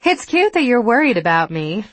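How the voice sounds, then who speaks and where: A chatty, tipsy young woman from the American South, voiced by a female audiobook reader. She gushes and teases in a lifted, very lively voice with a Southern lilt. The sound is dry and intimate. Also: fairly high pitch, moderate pace, dry recording, pitched above the performer's own voice. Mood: gushing, breezy. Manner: gushing, excitable, teasing. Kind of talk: audiobook character dialogue.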